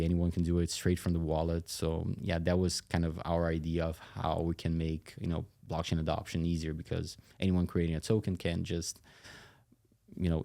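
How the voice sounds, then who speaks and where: A man with a very low voice full of fry, explaining as a podcast guest. His delivery is conversational and halting, with a narrow melody that stays fairly even. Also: no echo. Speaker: company CEO interview guest.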